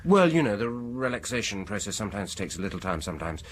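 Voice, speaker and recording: An actor playing a probing, falsely polite British dentist in a radio horror drama, with a husky voice and an insinuating, deliberate manner. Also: male voice, fairly low pitch, sing-song, a little hiss or hum. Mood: falsely soothing. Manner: deliberate, insinuating, falsely soothing, chuckling at his own remarks.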